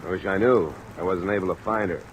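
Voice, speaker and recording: A tough, curt American investigator acted by a man with a low voice, straight out of 1940s radio drama. He speaks in a hard-boiled, sardonic way. Soft rain runs behind the old recording. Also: quick pace, slight background noise, natural voice, low-quality recording. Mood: irritated, sarcastic. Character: tough, sardonic male freelance insurance investigator. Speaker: lead actor and narrator. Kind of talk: 1940s radio detective acting.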